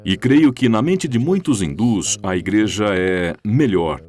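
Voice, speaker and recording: A man with a Brazilian Portuguese accent voicing an interviewee's answers in a dubbed interview, speaking in a measured, reflective way. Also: fairly low pitch, lively intonation, a little mellow. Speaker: interviewee voice-over.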